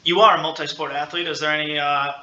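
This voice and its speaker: A male sports podcast host with a very bright, punchy voice. He talks casually and playfully, teasing and hyping up his guests. The sound is noticeably roomy. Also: on the high side, level tone, quick pace, dull audio. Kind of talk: casual sit-down sports interview.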